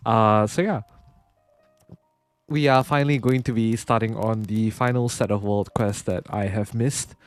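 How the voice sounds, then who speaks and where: A man's voice: lowish voice, darkish tone, no echo. Nasal man rambling through game commentary. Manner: rambling, many filler "uh"s. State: sore throat. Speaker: gaming channel host. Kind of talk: let's-play commentary.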